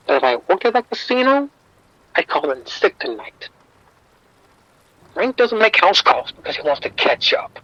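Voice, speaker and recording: A man's voice: high voice, bright, forceful tone, muffled recording, full character voice. An actor playing a streetwise male bookie informant, wry and defensive, talking around the point in an audio drama.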